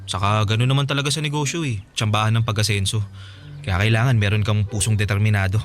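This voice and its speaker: An actor playing an earnest, sheepish boyfriend with big dreams in a radio drama. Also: male voice, fairly low pitch, fairly even intonation, quick pace, faint music behind, natural voice. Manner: hesitant openings, earnest explaining. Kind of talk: radio drama acting, conversational.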